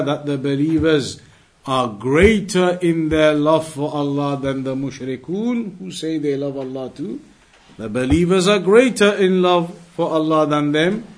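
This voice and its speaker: A man giving a religious class lecture as a teacher, speaking emphatically with repetition and rhetorical questions. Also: on the high side. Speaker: Islamic studies teacher.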